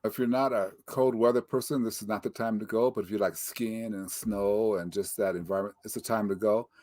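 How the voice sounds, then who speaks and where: A man's voice: lowish voice, steady tone, brisk, mellow, no echo. An older man hosting a casual interview over a video call, chatty and joking. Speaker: interview host. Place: home office in Denver, Colorado.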